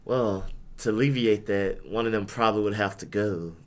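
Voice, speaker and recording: A young man hosting a casual podcast, hoarse from losing his voice, with laid-back, self-deprecating banter. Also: fairly low pitch, fairly even intonation, normal speed. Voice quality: raspy. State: hoarse, lost voice. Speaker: podcast host.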